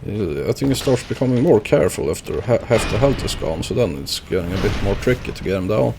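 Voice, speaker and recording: Gravelly-voiced man streaming a game. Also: lowish voice, lively intonation, a little fast, background noise. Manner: rambling, lots of fillers, chuckles at his own deaths. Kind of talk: casual gameplay commentary.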